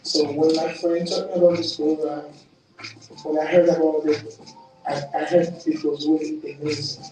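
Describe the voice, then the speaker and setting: A man's voice: on the high side, little pitch movement, moderate pace, warm tone, soft background music. Reflective man, very echoey room. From Haiti. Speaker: program participant. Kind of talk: testimonial interview. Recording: very echoey room, distant mic.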